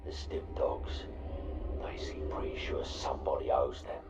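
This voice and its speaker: An actor playing a wary underworld scout in a video game, laconic and measured, often half-whispering. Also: male voice, rumbling low, flat delivery, a little mellow. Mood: wary, matter-of-fact. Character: wary, low-key male underworld scout. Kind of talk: video game cutscene acting. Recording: echoey, distant from the mic.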